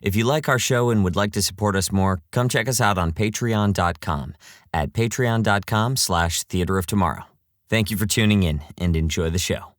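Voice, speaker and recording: A man delivering a scripted programme introduction as the show's announcer. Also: deep voice. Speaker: show co-creator and announcer. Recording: loud music under the voice.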